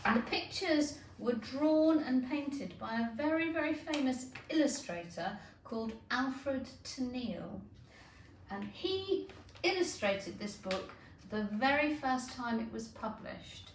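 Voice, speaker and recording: A woman reading a children's story aloud like a teacher, with careful, expressive storytelling. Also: sits high, slow pace. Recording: roomy, distant, noisy amateur recording.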